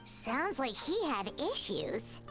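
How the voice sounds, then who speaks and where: A woman voicing a small, childlike young companion in a video game, with emphatic, expressive delivery over game music. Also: high-pitched, takes it slowly, heavily acted. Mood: curious.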